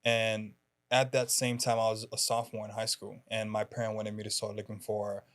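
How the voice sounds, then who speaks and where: A man in his twenties from Haiti, a podcast guest who talks softly in casual chat and laughs often. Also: lowish voice, monotone, normal speed. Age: 20s. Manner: soft-spoken, laughs often, hesitant fillers.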